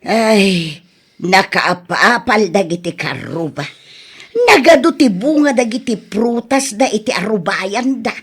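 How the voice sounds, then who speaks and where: An actress playing an exasperated, worried farmer's wife who scolds and exclaims in an Ilocano radio drama, with an Ilocano accent. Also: female voice, mid-range voice, lively intonation, unhurried, breathy edge. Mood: puzzled, complaining.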